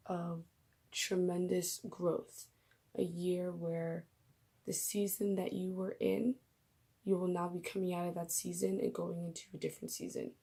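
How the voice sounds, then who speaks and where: Young woman, raspy gravelly voice, a bit low, chatty rambling vlog, bedroom, phone at arm's length. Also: warm tone. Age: young adult. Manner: chatty, rambling, laughs at herself. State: coming down with a cold. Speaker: vlogger. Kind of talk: casual faith vlog.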